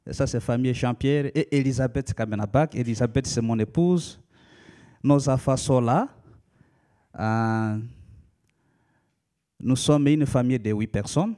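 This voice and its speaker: A man in his thirties from the Democratic Republic of the Congo, a native Lika speaker, preaching in a church in a measured teaching manner with frequent hesitations. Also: mid-range voice, mellow. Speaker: pastor. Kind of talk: sermon.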